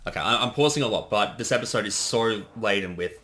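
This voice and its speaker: A man's voice: on the low side, fast, bright, forceful tone. A man giving casual creator commentary in a chatty conversation.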